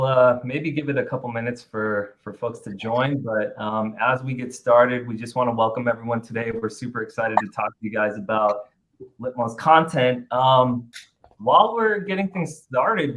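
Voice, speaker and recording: A man's voice: medium pitch, fairly bright tone, rough recording. A man hosting a webinar over a video call, upbeat and chatty as he welcomes attendees. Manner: upbeat, chatty, many fillers and restarts. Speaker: webinar host. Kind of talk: webinar presentation.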